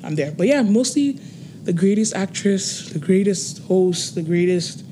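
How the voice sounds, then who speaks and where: A woman in her twenties, a guest on an interview, laughing often and speaking slowly and earnestly. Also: medium pitch, expressive, warm tone, a little hiss or hum. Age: 20s. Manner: laughs often, slow and earnest when talking goals. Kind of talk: casual interview chat.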